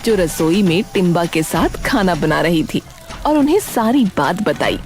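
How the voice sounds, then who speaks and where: Expressive woman storyteller over music. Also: lowish voice, normal speed. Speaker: storyteller, narrator. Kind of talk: scripted Hindi story narration. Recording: music bed under the voice.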